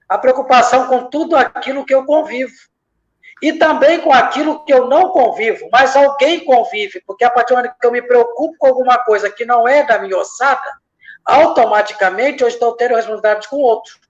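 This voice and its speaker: A man's voice: extremely high pitch, brisk. A man from from that region, a lay missionary reflecting in a church discussion, building his points from parallel examples and often hesitating. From Minas Gerais, Brazil. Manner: speaks in repeated parallel examples, many hesitations. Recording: dull lo-fi sound.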